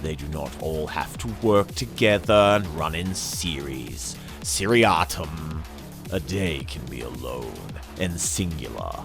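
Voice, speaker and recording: Deep, theatrical male dramatic narrator. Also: expressive. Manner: theatrical, menacing, emphatic delivery with deliberate pauses. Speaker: narrator reading an audiobook excerpt. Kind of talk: dramatic scripted narration of dark science fantasy. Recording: music under the voice. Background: dramatic background music.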